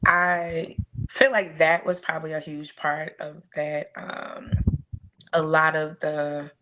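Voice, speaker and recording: A woman's voice: low-pitched, flat delivery, takes it slowly, pushed and bright. A woman from Houston, telling her personal story casually and reflectively as an interview guest. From South Side of Houston, United States.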